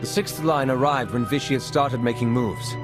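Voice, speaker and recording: An actor playing a dutiful, earnest intelligence agent in a video game, giving formal, brisk briefings. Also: male voice, medium pitch, over music, natural voice. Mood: grave. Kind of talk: video game cutscene acting.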